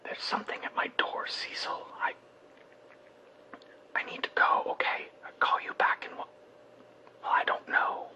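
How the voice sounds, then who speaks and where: An earnest, bright-voiced scientist over music-free voicemail. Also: male voice, unhurried, long pauses, whispered, muffled recording. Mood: frightened whispering. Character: earnest scientist man phoning in urgent, puzzled voicemails. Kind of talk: voicemail monologue in a fiction podcast.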